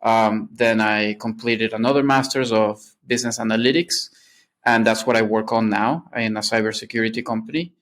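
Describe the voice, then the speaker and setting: A man's voice: lowish voice, fairly even intonation, normal speed, fairly bright tone. A man, a Spanish speaker, answering casually and reflectively as a podcast guest. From Venezuela. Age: late 20s.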